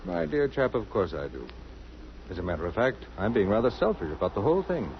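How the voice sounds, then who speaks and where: An actor playing a gentle, self-effacing island doctor in old-time radio drama style, courteous, soothing and a little hesitant. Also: male voice, lowish voice, sing-song, a little fast, creaky. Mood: wry, persuasive. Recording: muffled, noisy old radio recording.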